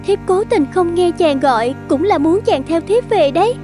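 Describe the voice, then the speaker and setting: Very high-pitched young bride. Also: female voice, animated, moderate pace. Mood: pleading. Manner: polite, pleading, old-fashioned wifely speech. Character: gentle young ghost bride, soft-spoken and pleading. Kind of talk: animated folktale dubbing. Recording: music under the voice.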